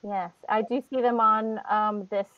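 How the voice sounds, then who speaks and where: A woman's voice: mid-range voice, fairly even intonation, a little slow, dull audio. A woman playing a tabletop game over a video call, improvising casual in-character chat in a hesitant, rambling way.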